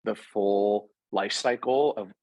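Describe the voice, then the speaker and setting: A man being interviewed about his work in fashion, talking in a lively, conversational way and working through examples with frequent restarts. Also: lowish voice, takes it slowly, no echo, rough recording. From Puerto Rico. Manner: animated, talks through examples with self-corrections. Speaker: interview guest, fashion product executive.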